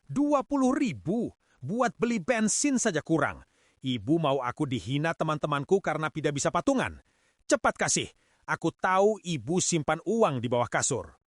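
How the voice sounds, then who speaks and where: A man's voice: on the high side, big pitch swings, normal speed, dry recording, clean studio sound. A man playing an arrogant, lazy, ungrateful young son in a cartoon drama, sneering and demanding. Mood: angry, threatening. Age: young adult. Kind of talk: animated cartoon moral drama.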